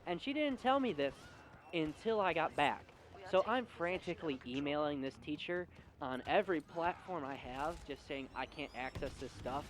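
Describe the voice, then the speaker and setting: Higher-voiced young man over clear noise. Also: expressive, moderate pace. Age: young adult. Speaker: video storyteller. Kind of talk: casual personal storytelling rant.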